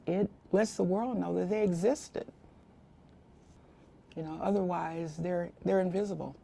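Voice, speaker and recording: Female interviewee, low and extremely creaky, mellow tone, reflective talk. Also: unhurried. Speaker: documentary interviewee.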